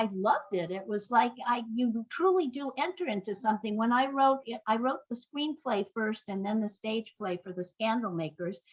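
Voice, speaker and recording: A woman's voice: on the high side, fairly bright tone, dull audio. An older woman, a playwright guest on an interview podcast, telling anecdotes in a rambling, enthusiastic way.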